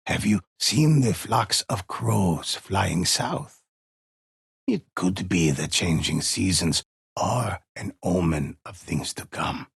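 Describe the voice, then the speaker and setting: Low, husky, ominous legend teller. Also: male voice, no room sound, clean studio sound, heavily acted. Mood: mysterious, solemn. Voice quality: husky, gravelly. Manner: slow, ominous, deliberate, reverent. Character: grave, mysterious male teller of demon legends. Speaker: storyteller character in a samurai video game. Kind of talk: dramatic in-game storytelling.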